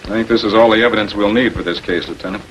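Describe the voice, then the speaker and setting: An American actor playing a shrewd public prosecutor in 1940s television crime drama style, questioning in a deliberate, authoritative way. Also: male voice, on the low side, fairly even intonation, a bit bright, close to an everyday voice. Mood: confident, accusing. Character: shrewd, composed male public prosecutor confronting murder suspects. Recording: noisy 1940s film soundtrack.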